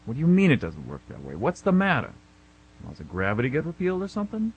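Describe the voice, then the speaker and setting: A man plays a gruff police officer in a radio drama, impatient and dryly sarcastic. His voice is raspy and medium in pitch, with animated swings as he needles his listener. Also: fast talker, muffled recording. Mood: sardonic, dismissive. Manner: sardonic, curt, unimpressed. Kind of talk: amateur radio drama acting.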